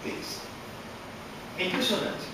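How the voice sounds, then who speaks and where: A man giving a workshop lecture to an audience, animated and emphatic, with many rhetorical questions, speaking Portuguese as a learned language. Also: measured pace. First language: not Portuguese. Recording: echoey hall from far off, noisy.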